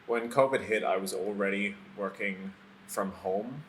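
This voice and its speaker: A man in his mid-twenties giving a halting personal account, pausing mid-sentence. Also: on the low side, slight background noise, rough recording. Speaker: interviewee, animator. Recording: music behind the voice. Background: plucked guitar music.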